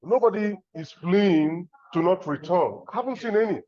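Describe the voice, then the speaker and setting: A middle-aged man from Nigeria giving measured, reflective remarks as a discussant on an academic conference panel. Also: high voice, lively intonation, highs cut off, a crowd in the background. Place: conference room.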